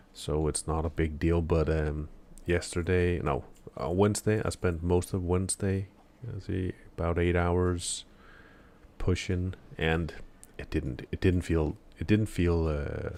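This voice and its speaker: A man chats with his stream viewers early in the morning while he plays, still not quite awake. His voice sits very low and barely moves in pitch. He rambles and hesitates, restarting sentences and leaning on fillers. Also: steady tone, slow, darkish tone. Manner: chatting with viewers. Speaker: live streamer. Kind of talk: casual live-stream chat while gaming.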